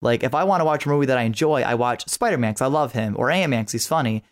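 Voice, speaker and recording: A man's voice: mid-range voice, lively intonation, racing. A man co-hosting a podcast, giving his opinions in a casual, rambling way with "like" scattered through his talk. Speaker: podcast co-host.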